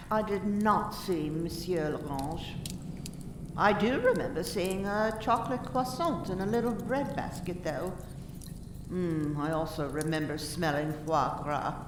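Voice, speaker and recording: A woman's voice: on the low side, close to an everyday voice. A woman playing a prim, careful lady witness in a radio mystery, recalling details precisely and earnestly with some hesitation. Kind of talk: radio play acting. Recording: echoey, distant, noisy room.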